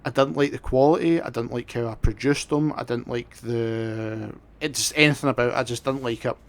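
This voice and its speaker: A man's voice: mid-range voice, normal speed. A man hosting a casual livestream chat, tipsy from drinking, rambling along and swearing freely. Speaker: livestream host.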